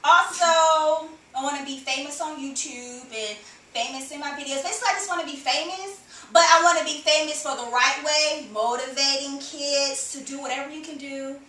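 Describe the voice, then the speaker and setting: Bright, high-voiced playful young woman. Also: moderate pace. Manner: playful, loud and joking. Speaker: host. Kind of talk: casual group chat. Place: carpeted living room, indoors. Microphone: far camera. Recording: echoey room, far from camera.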